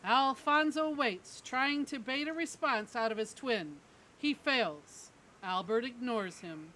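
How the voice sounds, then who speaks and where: A woman's voice: a little high, lively intonation, takes it slowly, sharp tone. A woman narrating a scripted story as a storyteller, reading out the stage directions. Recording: clearly noisy background ambience.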